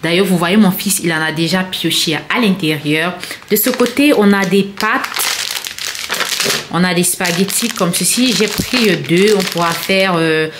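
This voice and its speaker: Low-pitched Frenchwoman's vlog chat, noisy background. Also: a little slow. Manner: chatty, explanatory, addressing viewers directly. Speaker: lifestyle vlogger. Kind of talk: casual grocery haul vlog narration.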